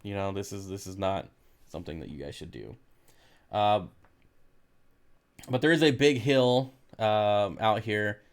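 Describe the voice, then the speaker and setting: A man's voice: fairly low pitch, a little slow, long pauses, clean tone. An American man hosting a casual livestream, talking in a laid-back, jokey way as he reads and answers comments. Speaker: livestream host reading and answering viewer comments.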